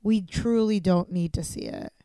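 A woman's voice: lowish voice, dark tone, smooth, no echo, clean studio sound. A young woman co-hosting a podcast, chatting casually and jokingly while watching a movie. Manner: chatty, quick to react, joking. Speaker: podcast co-host. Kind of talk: casual movie commentary chat.

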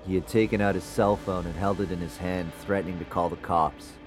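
A man's voice: sits low, fairly even intonation, a little fast, close to an everyday voice, poor audio. A man playing an uneasy narrator in an audio drama, recounting a haunting in a conversational first-person way. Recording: music bed under the voice.

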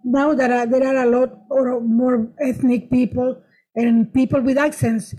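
A middle-aged woman with a high-pitched voice and a foreign accent speaks English as a later language. She tells stories casually as a comedian being interviewed, haltingly, often doubling back on words and phrases. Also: flat delivery, dark tone, poor audio. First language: not English, which is a third language. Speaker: stand-up comedian, interview guest. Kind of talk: casual conversational storytelling with jokes.

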